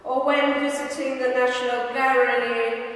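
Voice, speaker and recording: Woman reading out personal writing at an event, takes it slow, super echoey and far from the mic. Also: sits high, little pitch movement. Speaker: reader at a live spoken-word event. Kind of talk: reading written memoir pieces aloud to an audience. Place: large echoing gym hall. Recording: very echoey hall, distant microphone.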